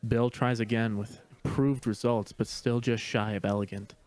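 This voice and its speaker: A man's voice: lowish voice, steady tone, normal speed, darkish tone. A man narrating a screenplay, reading the stage directions in a matter-of-fact way. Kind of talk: scripted narration.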